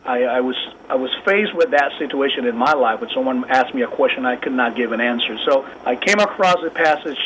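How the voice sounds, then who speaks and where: A man with a Puerto Rican accent speaks in a middle-pitched voice, earnest and halting, filling pauses with "uh" as he gathers his words. He is a native Spanish speaker heard as a radio guest, with a faint hiss under the broadcast. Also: a bit bright, rough recording. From Puerto Rico. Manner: earnest, halting with many fillers. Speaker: guest apologist. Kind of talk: radio interview.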